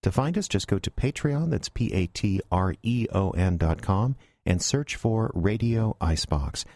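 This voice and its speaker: Low-voiced male show announcer. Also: lively intonation, moderate pace, darkish tone, no room sound. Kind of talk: podcast announcing.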